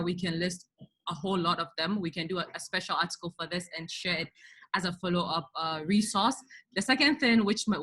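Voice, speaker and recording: A woman's voice: fairly low pitch, bright, forceful tone. A woman hosting an online career webinar, giving motivational advice and putting pointed rhetorical questions to her listeners in an emphatic way. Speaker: webinar host and career speaker.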